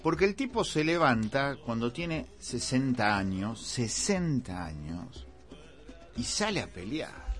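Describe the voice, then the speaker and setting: A man's voice: medium pitch, big pitch swings, takes it slowly, a bit bright, soft background music. A man, co-hosting a radio show and telling stories emphatically. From Argentina. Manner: storytelling, emphatic, pace swings. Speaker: radio co-host. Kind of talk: casual opinionated chat.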